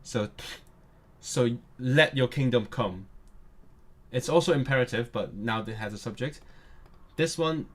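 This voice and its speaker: A man's voice: mid-range voice, measured pace, long pauses. Eager, stumbling male streamer. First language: Cantonese. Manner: eager and nerdy, often stumbling and restarting words. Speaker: streamer and language learner. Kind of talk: casual live-stream chat.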